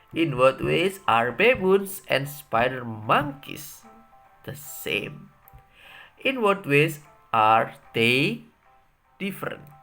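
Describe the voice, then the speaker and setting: A person reading a children's story aloud in a deliberate, halting delivery. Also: medium pitch, fairly bright tone. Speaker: storybook reader.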